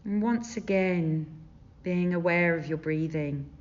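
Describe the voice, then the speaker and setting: A woman leading a guided meditation as a teacher, gentle and soothing, with unhurried phrases and long pauses. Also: lowish voice. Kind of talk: guided body scan meditation. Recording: dull, muffled lo-fi sound.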